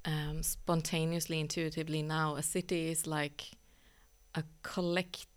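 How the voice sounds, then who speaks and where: A woman from northern Sweden, a yoga teacher speaking as a podcast guest, talking reflectively with many pauses and ums. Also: deep voice, level tone. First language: Swedish. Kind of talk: reflective casual conversation.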